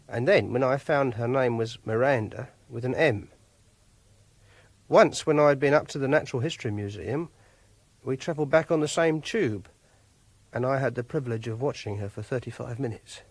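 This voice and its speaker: A socially awkward, self-pitying English clerk in a radio drama. His voice is husky and close, with very little room around it. He confides his fixations in halting, hesitant phrases, like a man who is used to being alone. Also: medium pitch, no room sound. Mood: wistful, secretive. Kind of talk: first-person radio drama monologue.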